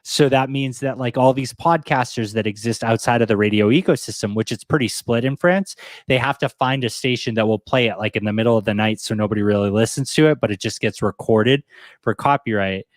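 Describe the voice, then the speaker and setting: A man with a mid-pitched voice, recorded dry with little room sound. He answers as an expert guest, explaining things informally and plainly with examples. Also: brisk, no echo. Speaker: podcast industry expert guest. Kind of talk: casual explanatory interview answers.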